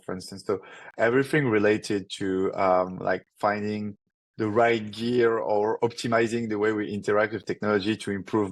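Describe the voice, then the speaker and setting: A man's voice: lowish voice, no echo. A man in his thirties from France with a thick French accent, a startup founder answering interview questions casually, with many fillers and restarts. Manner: fast, filler-heavy, restarts often.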